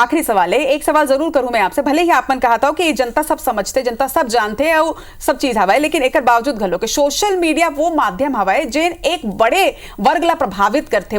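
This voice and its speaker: A woman with a Chhattisgarhi accent moderating a political TV debate as anchor, putting probing, insistent questions to the panel. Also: sits high.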